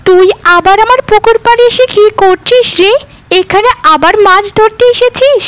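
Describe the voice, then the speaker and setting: A woman's voice: very high voice, moderate pace, fairly bright tone. A woman voicing a scornful, haughty rich village woman in a cartoon, sneering and berating. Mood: angry accusing. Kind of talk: Bengali cartoon dubbing.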